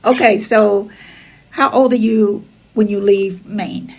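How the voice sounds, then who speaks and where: A woman hosting a podcast who responds warmly to her guest's story and asks follow-up questions. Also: mid-range voice, fairly even intonation, takes it slowly. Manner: responsive, encouraging interviewer. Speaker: podcast host. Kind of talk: casual interview chat.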